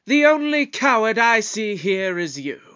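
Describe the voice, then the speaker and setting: Man playing a high-voiced, pompous alien time-traveler. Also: big pitch swings, measured pace, bright, forceful tone, clear, resonant tone, dull audio, full character voice. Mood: defiant, stern. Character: bold, moralizing alien time-traveler man, theatrical and resolute. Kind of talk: audio drama acting.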